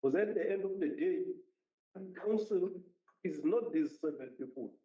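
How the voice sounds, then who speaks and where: Man, dark emphatic councillor. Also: sits high, animated, normal speed. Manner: emphatic, repetitive speechmaking. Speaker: municipal councillor. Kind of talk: council debate speech. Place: council chamber. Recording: roomy, dull council chamber audio.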